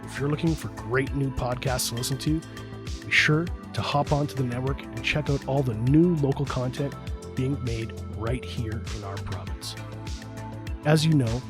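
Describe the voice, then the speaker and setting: Host: mid-pitched man, easygoing, music clearly audible under the voice. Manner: easygoing, giggly. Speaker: podcast host. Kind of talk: casual podcast chat and scripted outro.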